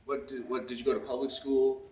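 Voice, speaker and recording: A man's voice: mid-range voice, flat delivery, fairly quick, a little mellow. A man interviewing someone, asking questions in a loose, informal way and swearing now and then. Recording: echoey, distant, faint background noise.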